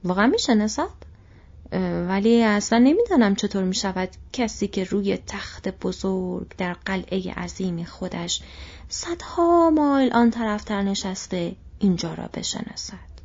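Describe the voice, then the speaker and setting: Fussy old innkeeper, rambling and flustered, read by a woman, husky and raised, muffled lo-fi audio. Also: medium pitch, expressive, a little mellow. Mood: bewildered. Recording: dull lo-fi recording.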